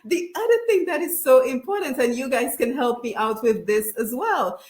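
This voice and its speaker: A woman's voice: high-pitched, lively intonation, normal speed, darkish tone. A woman hosting a radio panel discussion in a conversational way, often checking in with "right?". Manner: conversational, frequent "right?" tags. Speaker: radio show host. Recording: roomy echo.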